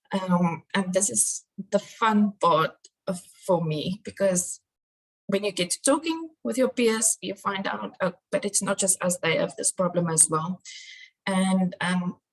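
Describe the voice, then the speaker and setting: A woman's voice: mid-range voice, vocal fry, breathy edge, low-quality recording. A middle-aged woman, giving an earnest conference talk on crime analysis, with frequent ums. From South Africa.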